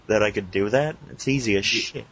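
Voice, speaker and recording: A man's voice: on the low side, a bit bright, dull audio, a little hiss or hum. A man co-hosting a podcast with casual, sweary banter. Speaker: podcast co-host.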